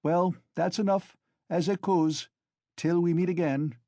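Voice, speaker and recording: A feverish, bitter young man, as a male narrator puts him on, his voice pitched up and swinging widely as he taunts. The tone stays mellow and a little husky, close and dry, with a storybook reading's restraint. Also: sing-song, normal speed, no echo. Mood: feverish mocking. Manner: mocking, then pleading in a frenzy.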